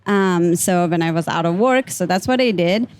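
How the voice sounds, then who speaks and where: A woman with an Iranian accent talks as a guest on a casual podcast, her voice lowish and smooth. She chats in a loose, friendly way, full of fillers and small laughs. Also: normal speed. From Iran. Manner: relaxed, chatty, many fillers and little laughs. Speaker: guest, pediatric dentist.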